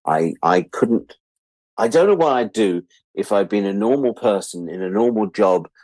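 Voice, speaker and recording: A man's voice: on the low side, expressive, moderate pace, darkish tone, no room sound. A British man of about sixty chatting casually and reflectively as an interview guest, candid and wry, repeating words for emphasis. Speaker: interview guest, freelance media producer.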